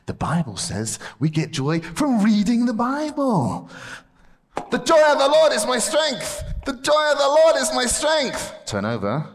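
A man's voice: very high-pitched, wildly swooping pitch, unhurried. A man presenting a playful object lesson to children in church, laughing often and calling out to the room. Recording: echoey hall.

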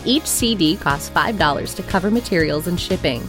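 A woman's voice: fairly low pitch, lively intonation, normal speed. A female radio announcer reading a scripted offer for program copies. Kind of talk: scripted promotional read. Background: music bed.

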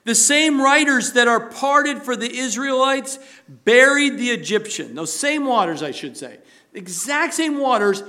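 A male preacher with a fairly high, bright voice delivers a sermon at an unhurried pace. He swings between loud, emphatic declarations and hushed, prayerful passages. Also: animated. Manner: rises to loud emphatic preaching, then drops to a soft prayerful tone.

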